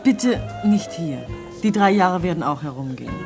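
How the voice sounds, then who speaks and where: An actress playing an anxious, discreet companion of a shady man, cautious and hushing, in a radio drama. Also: on the low side, animated, moderate pace, over music. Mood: uneasy. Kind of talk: post-war German radio play dialogue.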